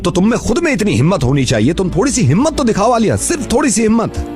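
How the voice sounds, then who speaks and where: A man's voice: high voice, sing-song, fast talker, darkish tone, soft background music. A male radio prank-call host giving an earnest, emphatic, exhorting motivational talk. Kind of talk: impassioned motivational phone talk.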